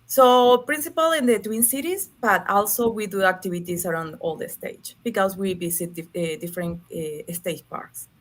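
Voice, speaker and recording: A woman with a Venezuelan Spanish accent talks over a video call, her English earnest and a little halting. She is a native Spanish speaker explaining her work as a panel guest, in a voice of middling pitch. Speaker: nonprofit leader, guest. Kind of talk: online panel discussion.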